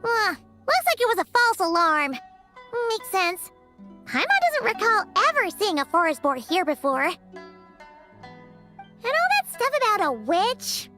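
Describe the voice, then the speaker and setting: A woman voicing a tiny, excitable, chatty childlike fairy companion in a video game, with a squeaky, exclamatory delivery. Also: measured pace, pauses often, over music, heavily acted. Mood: curious, musing. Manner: refers to herself in third person, exclamatory. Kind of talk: animated video game voice acting.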